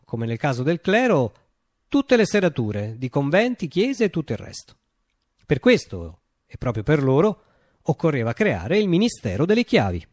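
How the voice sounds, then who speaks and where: An actor playing a visionary revolutionary who recounts his utopia in a declamatory radio theatre monologue, with deliberate pauses and emphasis. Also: mid-range voice, dry recording, close to an everyday voice. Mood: solemn, proud.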